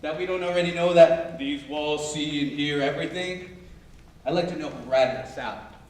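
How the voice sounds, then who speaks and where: Indignant veteran prison guard, male played by a man, high voice, musical theatre, distant and very echoey. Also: moderate pace, a little hiss or hum, natural voice. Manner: complaining. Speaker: stage musical performer. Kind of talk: musical theatre dialogue and singing. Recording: very echoey distant live staged reading.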